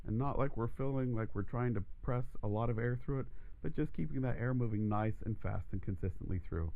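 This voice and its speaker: A man teaching a voice lesson, explaining steps calmly and steadily, his voice croaky from allergies. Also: mid-range voice, brisk, phone-quality sound. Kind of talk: instructional demonstration.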